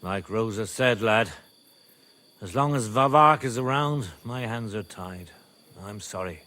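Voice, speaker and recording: An actor playing a middle-aged medieval nobleman and father, speaking in a measured, wry and wary manner for a video game. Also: medium pitch, bright, forceful tone. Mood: grim, confiding. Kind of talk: video game cutscene acting.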